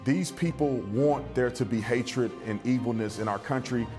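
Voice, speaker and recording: A man's voice: mid-range voice, moderate pace, music in the background. An American man speaking as a guest commentator on TV, blunt and indignant. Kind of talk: TV interview commentary.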